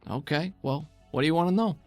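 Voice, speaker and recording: A man voicing a gruff, stocky older insectoid woman who runs a dump and talks in a casual, evasive, clipped way over music. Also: fairly high pitch, quick pace, dry recording, voice pushed up, lightly acted. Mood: guarded, dismissive. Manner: casual, clipped, shrugging, chewing on a toothpick.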